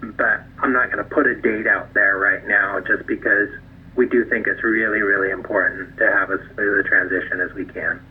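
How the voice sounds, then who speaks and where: A man leading a conference call, giving measured, diplomatic, halting explanations over the phone. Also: on the low side, fairly even intonation. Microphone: telephone conference line. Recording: tinny phone line, clear background noise.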